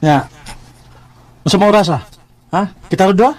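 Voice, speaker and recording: An actor playing a chummy, excitable best friend with a familiar, prodding manner, in a radio drama. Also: male voice, high voice, moderate pace, soft background music, natural voice. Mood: jovial, teasing.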